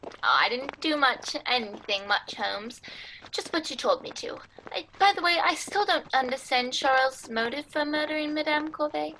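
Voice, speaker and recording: A woman's voice: high voice, moderate pace, bright, forceful tone, slight background noise, low-quality recording. A woman playing a detective's loyal, modest male friend in a 1940s-style radio play, with an earnest manner. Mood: friendly, modest.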